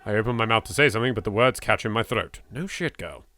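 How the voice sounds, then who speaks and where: A man's voice: fairly low pitch, lively intonation, fast talker, pushed and bright, no echo. A man with an English accent doing let's play commentary, reading game text dramatically and adding sweary, jittery, sardonic asides. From England. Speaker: video game let's player.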